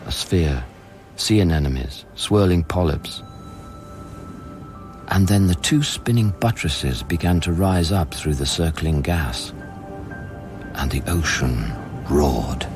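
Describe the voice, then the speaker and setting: An actor playing a haunted scientist in a radio play, narrating eerie events in a measured, brooding, literary way. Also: male voice, rumbling low. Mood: dread, hushed awe. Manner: measured, brooding, literary, sometimes dropping to a whisper. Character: haunted male scientist recounting eerie events aboard space station. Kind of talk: dramatic first-person radio play narration. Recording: radio play with music underneath. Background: prominent music.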